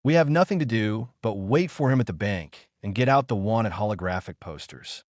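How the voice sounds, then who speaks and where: A man's voice: lowish voice, expressive, moderate pace, no room sound, clean studio sound, lightly acted. A man voicing a confident, gleeful police inspector in a noir audiobook story. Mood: confident, delighted.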